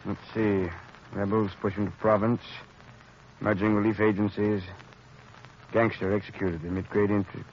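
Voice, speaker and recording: A male actor playing a wealthy, skeptical gentleman with formal diction in a 1930s radio drama. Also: on the low side, lightly acted. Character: wealthy philanthropist, educated, proper, skeptical gentleman. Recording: dull, hissy 1930s radio recording.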